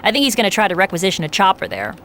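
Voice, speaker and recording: A concerned woman agent played by an actress on radio support in a game cutscene. Her voice is crisp and bright at an average pitch, with faint noise behind it. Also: expressive, very fast, close to an everyday voice. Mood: informative. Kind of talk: video game cutscene acting.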